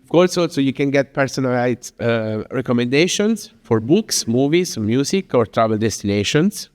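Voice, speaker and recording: A man's voice: medium pitch, expressive, moderate pace, darkish tone, smooth. Man, Italian accent, non-native English, chatty presentation, lots of fillers, long hall reverb. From Italy. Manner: chatty, with frequent fillers and restarts. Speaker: speaker at a business talk. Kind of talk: informal presentation to an audience. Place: venue in Lisbon.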